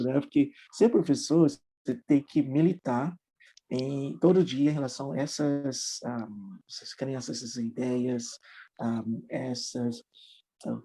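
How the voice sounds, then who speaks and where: A man's voice: mid-range voice, gravelly, mellow, slightly rough. A middle-aged man, a guest English teacher thinking aloud in a reflective, hesitant way with many restarts. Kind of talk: conversational podcast interview.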